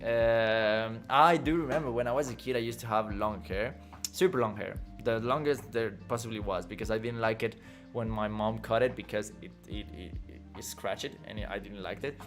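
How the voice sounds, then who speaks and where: A man's voice: lowish voice, moderate pace, fairly bright tone, faint music behind. A young man hosting a casual livestream, rambling loosely, laughing often and swearing as he answers chat. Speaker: livestream host reading chat.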